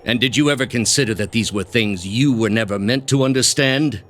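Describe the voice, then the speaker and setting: An actor playing a cold, pompous male grandmaster of an ancient zealous order in a video game, speaking deliberately and with condescension. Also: medium pitch, a bit bright, natural voice. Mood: scornful, imperious. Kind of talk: dramatic video game dialogue.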